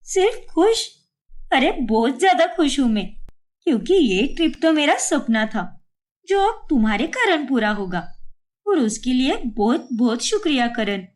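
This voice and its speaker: A woman voicing a scheming, sharp-tongued young wife in a cartoon story, speaking in a theatrical, defensive way. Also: high voice, sing-song, a little slow. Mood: delighted.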